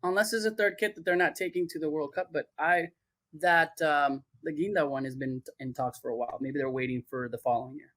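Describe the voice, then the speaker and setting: A man's voice: fairly high pitch, brisk, a bit bright, dry recording. A man talking as a podcast guest about branding work, loose and chatty with many fillers. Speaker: podcast guest who works on athlete branding. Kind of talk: casual podcast chat.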